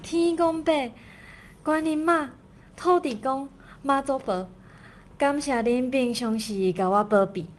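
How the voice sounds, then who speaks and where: Woman as a slow-spoken devout worshipper. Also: sits high. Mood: reverent. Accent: Taiwanese. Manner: measured, ritual chanting delivery. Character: pious everyday female worshipper reciting offerings to gods.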